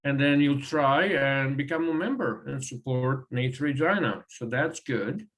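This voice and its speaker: A man from Croatia with a Croatian accent, a guest speaker giving a presentation over a video call, pausing often with ums and uhs. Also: medium pitch, fairly bright tone, poor audio. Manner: halting, with frequent ums and uhs. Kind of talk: online presentation.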